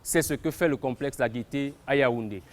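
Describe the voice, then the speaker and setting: A man's voice: mid-range voice, normal speed. A man presenting a scripted television magazine introduction.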